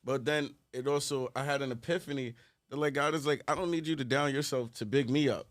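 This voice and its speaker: A man's voice: medium pitch, steady tone, fairly quick. A man hosting a podcast in casual chat, encouraging, giving advice to other men and backing up his guest with many "mm-hmm" and "yes" replies. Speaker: podcast host.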